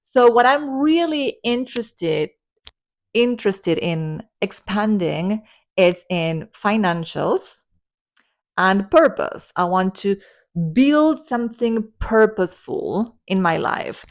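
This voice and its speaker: A woman's voice: medium pitch, animated, slow. A woman in her forties talking earnestly and upbeat in a personal spiritual vlog, now and then laughing lightly. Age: 40s. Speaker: spiritual self-development vlogger.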